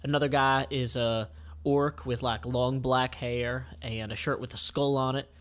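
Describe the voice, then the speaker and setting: A man informally describing comic panels aloud as their creator, with halting, improvised phrasing. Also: medium pitch, fairly even intonation.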